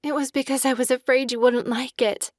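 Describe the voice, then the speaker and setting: A woman playing a gentle, timid, earnest young daughter in a period audiobook drama, speaking softly and sincerely. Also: high-pitched, level tone, brisk, dry recording, clean studio sound, natural voice. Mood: pleading, upset. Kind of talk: full-cast audiobook period drama acting.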